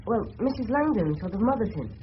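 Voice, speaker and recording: Polite English schoolboy, dull old recording. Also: female voice, on the high side, very animated, a little fast. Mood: thoughtful, earnest. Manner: respectful, earnest, candid. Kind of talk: 1970s radio drama acting. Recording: dull, noisy old radio broadcast recording.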